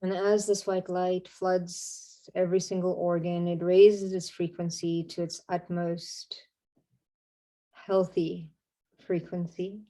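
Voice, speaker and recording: A woman leads a guided meditation in a soft-edged, mellow voice that sits a little low. Her intonation stays very even and flat. She is soothing and unhurried, leaving long pauses between short phrases. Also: low-quality recording.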